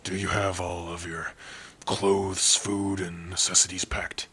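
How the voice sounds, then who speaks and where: Gentle orc sweetheart, played by a man for ASMR roleplay: very low, unhurried, soft-spoken, gruff but shy and smitten. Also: flat delivery, a little hiss or hum. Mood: grave, wary. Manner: soft-spoken, hesitant, with pauses and hums. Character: gruff but tender young male orc, shyly smitten. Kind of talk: ASMR roleplay addressed to the listener.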